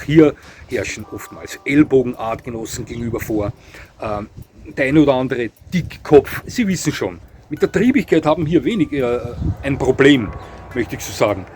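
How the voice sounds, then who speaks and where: A man's voice: medium pitch, darkish tone, a little hiss or hum. A man giving spoken commentary, frank and matter-of-fact, with many fillers and self-corrections. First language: not Portuguese. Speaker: dog breed commentator. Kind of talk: spoken commentary on a breed ranking.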